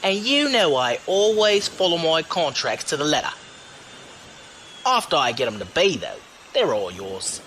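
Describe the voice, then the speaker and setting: Man playing a cocky rogue, lively pitch. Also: unhurried, slight background noise, exaggerated voice, low-quality recording. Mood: cocky, taunting. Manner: breezy, teasing, folksy slang. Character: cocky roguish male driver-mercenary, wily and unflappable. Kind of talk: audio drama acting. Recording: music behind the voice.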